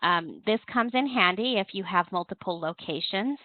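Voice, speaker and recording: A woman's voice: medium pitch, lively intonation, moderate pace, no room sound. A woman giving an instructional webinar walkthrough, explaining things step by step as a trainer. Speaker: presenter, compliance software trainer. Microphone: telephone conference call. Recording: thin, narrow-band phone line.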